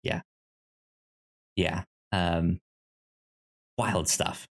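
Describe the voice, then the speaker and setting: A man's voice: sits low, flat delivery, extremely slow. A man co-hosting a podcast in casual chat about film, stammering and repeating words as he goes. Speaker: podcast co-host.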